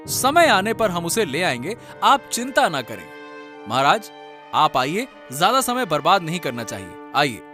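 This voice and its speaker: A man's voice: on the high side, sing-song, unhurried, sharp tone, heavily acted. A man voicing a confident, reassuring court investigator in a cartoon story. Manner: reassuring, urging. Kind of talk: animated Hindi cartoon story acting. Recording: music under the voice.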